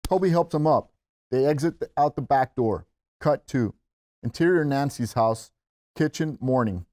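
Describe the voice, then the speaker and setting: A man's voice: medium pitch, a little slow, a little mellow. A man narrating the scene directions at a table reading of a film script. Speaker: stage-direction reader.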